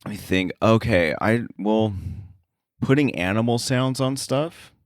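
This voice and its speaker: Low-voiced man, hesitant and thinking aloud. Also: a little slow, no echo, studio quality. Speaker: podcast guest, sound effects editor. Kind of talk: casual interview chat.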